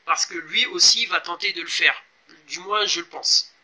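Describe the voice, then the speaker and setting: Bright-voiced man in his forties, halting. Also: high voice. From Congo. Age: 40s. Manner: hesitant, many pauses and fillers, urging viewers. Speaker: fitness vlogger. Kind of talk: casual explanatory vlog.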